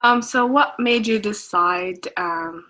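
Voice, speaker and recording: A woman's voice: medium pitch, takes it slowly, sharp tone. A young woman hosting a podcast interview, asking questions hesitantly with many fillers. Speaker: student interviewer, podcast host.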